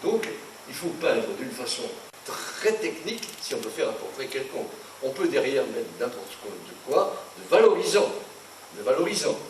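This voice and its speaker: A man's voice: medium pitch, normal speed. An older man from France, a painter, giving a rambling, indignant talk to an audience, full of asides and anecdotes. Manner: animated, indignant, digressive storyteller. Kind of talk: rambling lecture. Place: conference hall. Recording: echoey room, distant mic, noisy.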